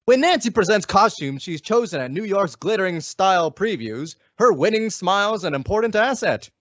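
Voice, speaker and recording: High-pitched, lively male radio announcer. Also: normal speed, no echo. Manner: polished salesman's delivery. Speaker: commercial announcer. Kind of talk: old-time radio advertisement, scripted. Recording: dull lo-fi radio-style recording.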